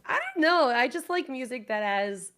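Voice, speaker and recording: An enthusiastic woman with a fairly high voice chats as a guest on a talk show. Her delivery is informal and freely peppered with swearing. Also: expressive, normal speed, a bit bright, clear, resonant tone. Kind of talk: casual chat.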